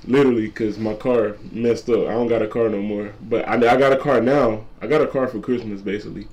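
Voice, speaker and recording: A twenty-year-old man with a deep voice, a content creator talking casually to camera and repeating his points. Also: fairly even intonation, brisk, darkish tone. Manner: repetitive, laid-back slangy talk, repeats lines. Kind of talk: casual vlog talk to camera.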